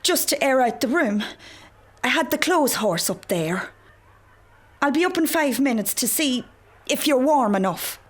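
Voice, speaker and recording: A woman's voice: sits high, expressive, measured pace. A woman playing a fussing, anxious, protective Irish mother in a naturalistic radio drama. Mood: anxious, insistent.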